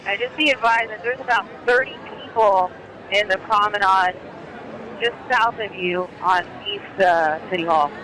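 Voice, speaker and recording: A man's voice: high-pitched, moderate pace, pushed and bright, a faint crowd. A male police officer directing units over a police radio in dispatch talk. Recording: noisy police scanner, outdoor traffic noise.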